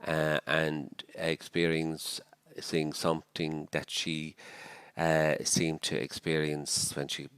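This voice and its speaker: Very deep-voiced Dublin man in his sixties. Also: completely flat delivery, fairly bright tone, clean tone, no room sound. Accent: Dublin Irish. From Dublin, Ireland. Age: 60s. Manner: halting, with many fillers and restarts. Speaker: priest guest. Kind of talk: reflective interview talk.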